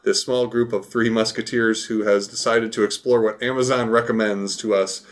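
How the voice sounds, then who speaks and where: A man's voice: lowish voice, level tone, moderate pace. A man hosting a podcast in casual chat, with an enthusiastic, geeky way of talking. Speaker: podcast host.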